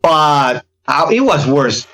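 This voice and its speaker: Animated man with a higher-pitched voice. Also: takes it slowly. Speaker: podcast guest, K-pop reaction video creator. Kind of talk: casual podcast chat.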